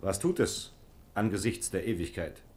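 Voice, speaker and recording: An actor playing a fervent, idealistic gentleman diplomat, declaiming earnestly in a German radio play. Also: deep voice, moderate pace, gravelly, slight background noise.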